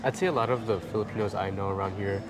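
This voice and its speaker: Low-voiced young American man chatting. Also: fairly even intonation, racing. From Chicago suburbs, United States. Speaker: interview guest, expat in the Philippines. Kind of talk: casual chat. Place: large bright indoor lounge with tall windows. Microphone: clip-on lapel mic. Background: music in the background.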